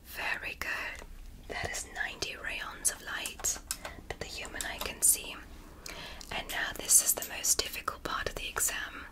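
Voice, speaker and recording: Casting: woman as kindly, curious alien medic for ASMR roleplay, breathy whisper, very slow and deliberate, reassuring. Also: natural voice, poor audio. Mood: gentle, attentive. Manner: soothing, deliberate, encouraging. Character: gentle, fascinated alien doctor examining a human. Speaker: ASMR performer.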